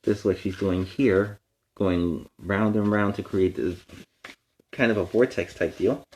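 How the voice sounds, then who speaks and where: A man's voice: on the low side, fairly even intonation, unhurried, a little mellow, a little hiss or hum, low-quality recording. A man hosting a children's story time, reading aloud with playful, explanatory asides in a superhero persona. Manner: explains words and lessons to young listeners.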